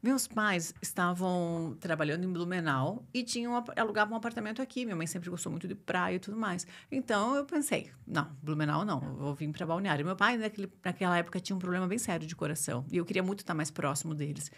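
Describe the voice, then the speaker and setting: A woman in her forties speaks in a fairly low register with a clearly creaky edge. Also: quick pace, few pauses, fairly bright tone. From Curitiba, southern Brazil (born in Argentina). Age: 40s. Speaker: labour lawyer, interview guest. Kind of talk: casual interview conversation.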